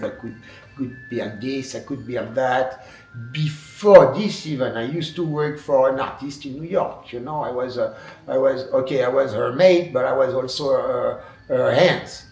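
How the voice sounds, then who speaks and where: A man's voice: mid-range voice, moderate pace, a little mellow, soft background music. A man speaking English with a French accent, his first language being French, talking as a wood sculptor in a documentary interview, hesitant with many fillers and restarts. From France. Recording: echoey room, distant mic.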